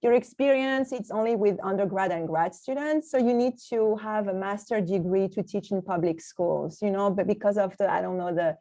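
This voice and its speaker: A woman's voice: medium pitch, moderate pace, few pauses, warm tone. A French woman with a Parisian accent, speaking English conversationally and emphatically as a language teacher in a webinar. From France. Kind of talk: conversational webinar talk with personal anecdotes.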